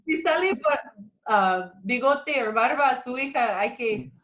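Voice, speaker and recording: A woman's voice: on the high side, animated, a little slow, fairly bright tone. A woman hosting a virtual church ladies' meeting, friendly and a little hesitant, slipping in English fillers. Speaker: host of women's meeting. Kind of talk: casual virtual interview. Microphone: video call.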